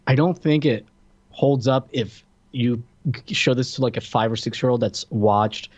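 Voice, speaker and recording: A man co-hosting a podcast, chatting casually and haltingly in a movie review style. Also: medium pitch, a little mellow, breathy edge. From Florida, United States. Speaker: podcast co-host.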